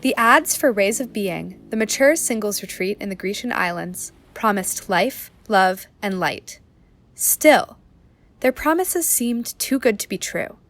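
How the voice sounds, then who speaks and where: A woman's voice: medium pitch, animated, measured pace, fairly bright tone. A woman playing a serious, probing investigative reporter in a documentary-style radio play. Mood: grave, intriguing. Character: earnest, suspicious undercover female investigative reporter.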